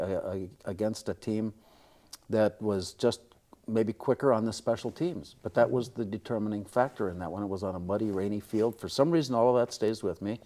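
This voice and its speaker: An older man with a Midwestern American accent, talking modestly and in wordy, measured phrases as an interview guest. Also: on the low side, mellow. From small-town upper Midwest, United States. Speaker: radio play-by-play broadcaster, interview guest. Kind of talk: casual interview chat.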